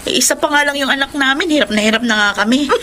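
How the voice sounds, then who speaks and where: Woman playing a high-voiced, soft-hearted young wife. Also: fast talker, close to an everyday voice. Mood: chatty, earnest. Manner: earnest, emotional. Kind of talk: Filipino radio drama acting.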